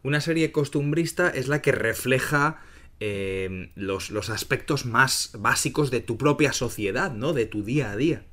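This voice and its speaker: A middle-aged man from Spain talks through a podcast conversation in an easygoing, explanatory way. His voice sits at an ordinary pitch, and he keeps checking in with his listener as he goes. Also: unhurried, a bit bright. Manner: explains terms clearly, often asks "¿no?". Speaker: podcast host and Spanish teacher.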